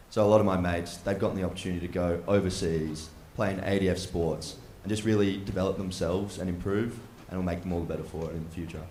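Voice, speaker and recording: Young Aussie male cadet, deep and brisk, upbeat; echoey hall. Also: darkish tone, slight background noise. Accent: Australian. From Australia. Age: young adult. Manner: upbeat, encouraging. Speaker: trainee officer.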